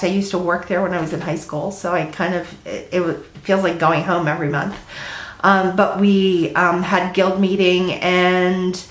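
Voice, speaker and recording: A woman's voice: on the low side, a bit bright, roomy sound, poor audio. A middle-aged woman with a stuffy cold, chatting at home in a rambling, casual way with lots of ums as she hosts her stitching vlog. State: congested with a cold. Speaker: flosstube host. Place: at home, indoors.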